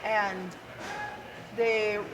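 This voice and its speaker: A woman from South Dakota with a gravelly, raspy voice, chatting casually and laughing now and then as an interview guest. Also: medium pitch, level tone, extremely slow, muffled recording, crowd noise. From South Dakota, USA. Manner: chatty and self-deprecating, laughs often. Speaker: musician guest. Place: outdoors at a windy motorcycle expo.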